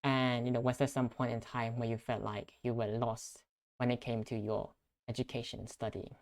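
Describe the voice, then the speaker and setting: A young man hosting a conversational podcast interview in a measured, earnest way. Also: medium pitch, level tone. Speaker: podcast host.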